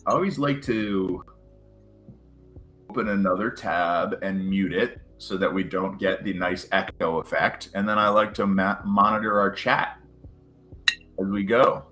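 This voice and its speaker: A man's voice: low voice, fairly even intonation, measured pace, sharp tone, faint music behind. A man hosting a casual livestream chat, joking and self-deprecating. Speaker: livestream host.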